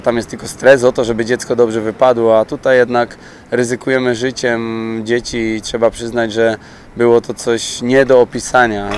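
A man in his thirties from Poland, a youth sports coach giving plain-spoken, reflective interview answers. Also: mid-range voice. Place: open-air speedway stadium pit area. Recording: outdoor pit-lane noise behind voice.

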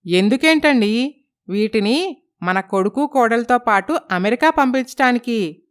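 Female narrator as eager mother of grown son, raised pitch, animated, story drama. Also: a little slow, clean tone.

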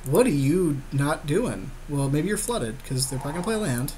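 A man's voice: a little high, expressive, moderate pace, a little mellow. A man co-hosting a podcast, chatting casually and agreeably as he advises on a card game. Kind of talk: casual chat. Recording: clear background noise.

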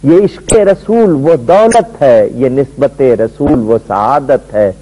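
A middle-aged man and religious scholar giving a devotional lecture on a television studio set, telling a story emphatically with his voice swooping high and low. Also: expressive, warm tone, poor audio.

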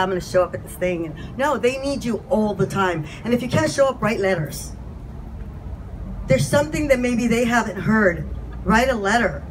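A woman's voice: fairly high pitch, background noise. A woman in her fifties speaking candidly and with passion into a handheld mic to a crowd at an outdoor event. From California. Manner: candid, impassioned, self-deprecating. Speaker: community speaker. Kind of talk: informal speech to a crowd. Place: outdoor patio event, crowd standing around.

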